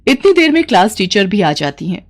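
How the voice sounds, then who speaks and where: A woman narrates a bedtime tale for children in a medium-pitched voice. She tells it as a scripted storyteller would. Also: sing-song, brisk.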